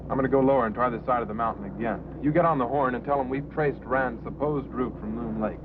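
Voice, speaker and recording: An actor playing a terse, no-nonsense helicopter pilot, curt and businesslike, in a mid-century film drama. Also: male voice, mid-range voice, fast talker, natural voice. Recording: tinny old soundtrack, engine noise.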